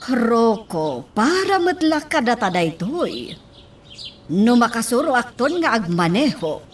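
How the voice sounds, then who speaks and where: A Filipino woman playing an excitable, nervous, wheedling wife in a radio drama, with theatrical exclamations. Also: a little high, slow pace, far from the mic, slight background noise. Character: excitable, nervous, pleading wealthy wife learning to drive.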